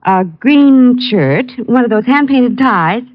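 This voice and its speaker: A woman's voice: on the high side, expressive, takes it slowly, no echo. A woman playing the detective's chatty girlfriend in a 1940s radio drama, playful and teasing. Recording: dull lo-fi old radio recording.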